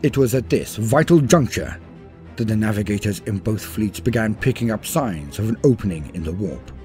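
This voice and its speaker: A man reading a scripted war story as a dramatic narrator, grave and theatrical, in measured phrases. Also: on the low side, lively intonation, darkish tone. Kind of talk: dramatic scripted lore read-through. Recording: voice-over with music behind. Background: music.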